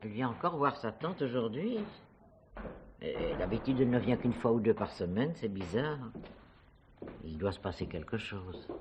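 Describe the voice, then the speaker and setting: A woman's voice: rumbling low, highs cut off, heavy background noise. A woman playing a genteel, gossipy old lady who gushes and exclaims in a French theatre play. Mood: curious gossip. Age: older. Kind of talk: French radio play acting.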